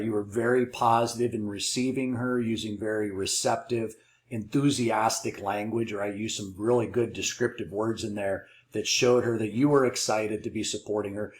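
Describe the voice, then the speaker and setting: A man giving encouraging, affirming coaching commentary as a call trainer. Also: on the low side. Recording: roomy, echoey sound.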